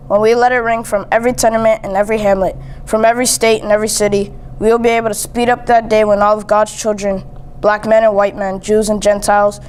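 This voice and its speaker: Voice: medium pitch, darkish tone. A young teen student reading a famous speech aloud. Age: young teen, seventh grader. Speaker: student reader. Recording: noisy amateur recording.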